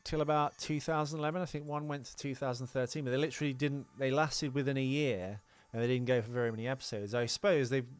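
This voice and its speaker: Bantering male podcast co-host, mid-pitched. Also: fairly even intonation, quick pace, few pauses, clean tone. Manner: bantering, teasing. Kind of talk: casual film trivia chat.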